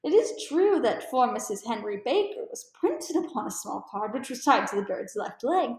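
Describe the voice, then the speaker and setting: A woman's voice: a little high, sing-song, normal speed, roomy sound. A woman voicing a keen, amused male detective with precise, formal and emphatic speech in a storybook read-aloud. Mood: keen, instructive.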